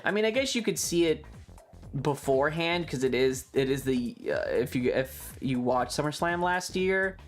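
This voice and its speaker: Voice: mid-range voice, animated, normal speed. A livestreamer talks in a casual, rambling way with an emphatic, ranting edge and plenty of swearing. The voice sits in a middle register. Instrumental music with guitar plays behind it. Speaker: livestream host.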